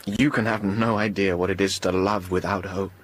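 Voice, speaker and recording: An actor playing an earnest, lovesick young gentleman doctor in a radio drama, ardent and refined in manner. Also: sits low, steady tone, moderate pace. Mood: despairing.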